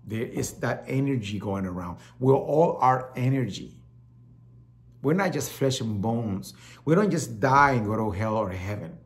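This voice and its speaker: A man's voice: mid-range voice, animated, a little slow. Salvadoran-accented man, rambling host monologue. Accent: Salvadoran Spanish. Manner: rambling, repeats words, acts out quoted voices. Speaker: talk show host. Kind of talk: casual monologue.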